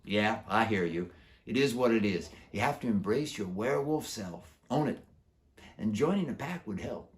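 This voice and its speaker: A low-voiced, swaggering werewolf recruiter from a children's storybook, acted out by a man. He talks in chummy slang and shows off, his pitch swinging widely. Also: animated, moderate pace, natural voice. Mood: breezy, chummy. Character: brash, boastful, streetwise adult male werewolf pack recruiter. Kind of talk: children's book character voice.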